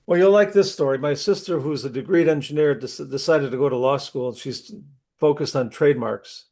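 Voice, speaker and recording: A middle-aged man, a university instructor, opening an online class session while losing his voice from a cold, talking conversationally and clearing his throat. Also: fairly high pitch, fairly quick, warm tone. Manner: conversational, clears throat often, restarts sentences. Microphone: computer mic on a video call.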